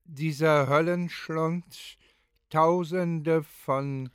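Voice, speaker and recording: An actor playing a pensive, grave man who gives halting, deliberate, thoughtful testimony as if speaking spontaneously. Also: fairly high pitch, fairly even intonation, clear, resonant tone, dry recording, studio quality. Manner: halting, deliberate, thoughtful, with long drawn-out phrases. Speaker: performer in a radio play recording session. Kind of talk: re-enacting spontaneous reflective testimony.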